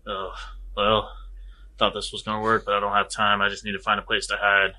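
A man's voice: lowish voice, completely flat delivery, brisk, bright, forceful tone. A man talking casually as a player in a tabletop role-playing game.

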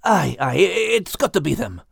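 A man voicing a hot-headed, impatient Scottish animal in an audiobook story, blurting and excitable but loving. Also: on the high side, moderate pace, creaky, breathy edge, no echo, clean studio sound, pitched above the performer's own voice, heavily acted. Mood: agitated, earnest. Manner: impulsive, blurting.

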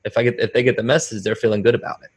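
A man's voice: mid-range voice, fairly even intonation, very fast, slightly rough. A man speaking as an interviewer in a conversational style, reflecting on his own experience as he goes.

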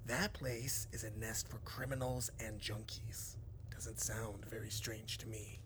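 An actor playing a weary, reluctant retired detective in a noir audio drama, gruff and terse. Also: male voice, on the low side, fairly even intonation, measured pace, background noise, lightly acted. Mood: dismissive.

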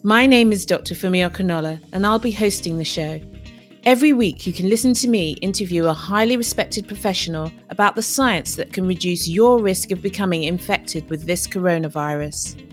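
A woman's voice: fairly low pitch, fairly quick, soft background music. A woman doctor hosting a podcast, reading a formal scripted introduction and asking questions. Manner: formal, reads a prepared script.

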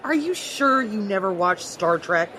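A woman's voice: medium pitch, lively intonation, measured pace, pushed and bright. A woman playing a flippant, impulsive young earthling in an audio drama, casual and wisecracking. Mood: breezy, wry. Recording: faint background ambience noise.

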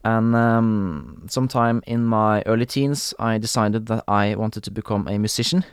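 A young man from Norway hosting a podcast in English with a Norwegian accent, talking casually with frequent pauses and fillers. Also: fairly low pitch, smooth, dry recording, studio quality. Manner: hesitant, many fillers and restarts, laughs often. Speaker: podcast host. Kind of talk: casual solo podcast talk.

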